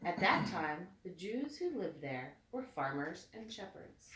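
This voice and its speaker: A woman reading a storybook aloud with expressive, deliberate phrasing meant for children. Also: lowish voice, slight background noise. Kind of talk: read-aloud storybook narration. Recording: echoey room, distant mic.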